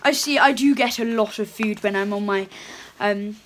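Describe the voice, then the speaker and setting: A young woman playing a jolly, chatty Father Christmas reading a storybook aloud at home, her voice pushed lower in a playful put-on. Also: normal speed, a bit bright, slight background noise, lightly acted. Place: home room with curtains.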